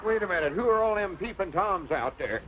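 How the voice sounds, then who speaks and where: A man's voice: high-pitched, animated, brisk. A man acting a folksy, naive, stubborn country man with a rustic Southern American drawl in a 1940s radio comedy. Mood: indignant. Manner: rustic drawl with homespun expressions. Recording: noisy, muffled old radio recording.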